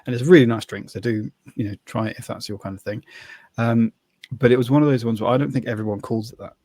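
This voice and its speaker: A man's voice: medium pitch, steady tone, quick pace, darkish tone, no room sound. A man co-hosting a podcast, telling rambling anecdotes. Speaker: podcast co-host. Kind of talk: casual chat.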